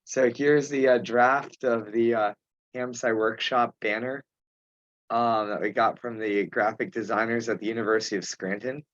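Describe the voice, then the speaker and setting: A man chairing an informal online meeting, speaking haltingly with pauses mid-sentence. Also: medium pitch, fairly even intonation, fairly bright tone, no room sound. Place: remote teleconference. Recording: dull, muffled conference call audio.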